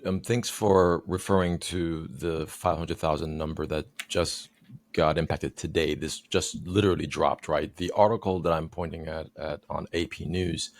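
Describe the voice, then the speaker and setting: Deep, gravelly male interview host. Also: no echo. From Haiti. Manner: measured, thoughtful questioner with many fillers. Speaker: podcast host. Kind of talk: conversational interview.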